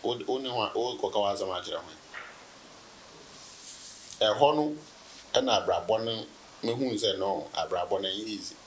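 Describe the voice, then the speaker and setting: A Ghanaian man in his thirties, a business guest in a relaxed sit-down interview, telling his story with laughs and anecdotes. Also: fairly low pitch, unhurried, long pauses, slight background noise, rough recording. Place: indoor room, seated on sofa.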